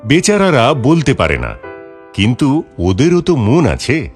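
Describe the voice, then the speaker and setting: A man's voice: medium pitch, wildly swooping pitch, unhurried. A man narrating a scripted moral story for children. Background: background music.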